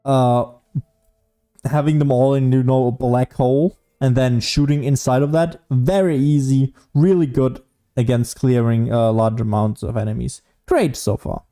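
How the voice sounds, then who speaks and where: A man giving casual solo gameplay commentary as a streamer, rambling and thinking out loud as he goes. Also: medium pitch, fairly even intonation, unhurried, faint music behind. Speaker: gaming streamer.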